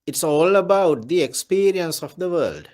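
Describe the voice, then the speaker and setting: A Buddhist monk giving a Dhamma talk, speaking deliberately and repeating his key phrases. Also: a little high, lively intonation, darkish tone.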